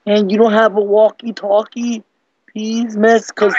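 Whiny, polite schoolboy, acted by a young man, high voice, pleading and hesitant, prank-call style, slightly dull sound. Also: flat delivery, measured pace, darkish tone, no echo. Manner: pleading, hesitant, childlike phrasing. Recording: slightly muffled computer call audio.

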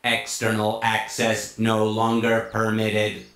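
An actor playing a huge, stern, obedient combat robot in a video game, with a clipped mechanical delivery and a metallic filter. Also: male voice, lowish voice, monotone, takes it slowly, fairly bright tone, reverberant, a little hiss or hum. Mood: emotionless. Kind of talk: video game cutscene acting.

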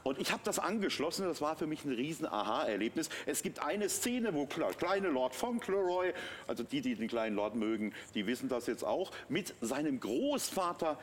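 A man's voice: a little high, big pitch swings, moderate pace, a little hiss or hum. A middle-aged man giving a live sales demonstration on a shopping channel, explaining in a conversational way. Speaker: shopping channel product presenter. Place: TV studio.

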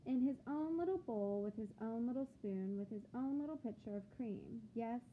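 A woman reading a picture book aloud to children like a teacher, with expressive, deliberate phrasing and questions to the listeners. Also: fairly high pitch. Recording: phone-like thin sound with background noise.

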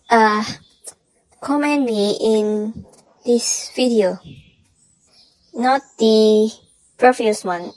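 A woman with an altered voice reading out a prepared announcement in simple, halting phrases. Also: fairly high pitch, a little mellow, no room sound, poor audio. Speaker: channel creator announcing audition winners. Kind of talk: semi-scripted announcement, reading prepared notes.

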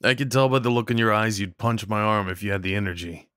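A man acting a kind, slightly awkward neighbor in an audio roleplay, talking in a gently concerned, hesitant, conversational way. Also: fairly low pitch, fast, clear, resonant tone, dry recording, studio quality, lightly acted. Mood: light, reassuring. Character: kind, awkward, caring male neighbor comforting someone. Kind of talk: ASMR roleplay, soft one-sided dialogue acting.

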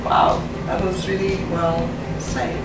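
A woman's voice: lowish voice, level tone, slow pace. A young woman from Cameroon whose first language is French, chatting casually to camera as a fashion vlogger, filmed in her apartment living room. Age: young adult. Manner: chatty, lots of fillers, laughs at herself. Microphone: far camera. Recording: roomy echo, distant mic, background noise.

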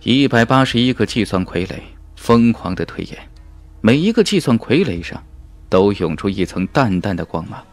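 A man's voice: on the low side, expressive, normal speed, no room sound, faint music behind. A man reading a fantasy novel as an audiobook narrator in an emphatic, suspenseful, dramatic style.

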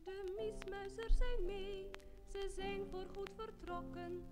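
A woman performs rhyming, sung narration for children. Her voice is extremely high and unhurried, set against loud music on an old record. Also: fairly even intonation.

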